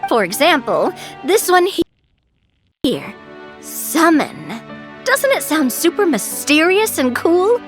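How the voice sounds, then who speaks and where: A woman's voice: high-pitched, very animated, takes it slowly, pauses often, soft background music, heavily acted. An actress playing a spirited, playful young woman adventurer in a video game. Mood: playful, mischievous.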